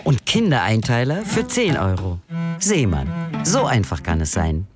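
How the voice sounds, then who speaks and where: Very animated advert voice over music. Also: male voice, on the low side, a little slow. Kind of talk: retail radio advert read. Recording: voice under loud music.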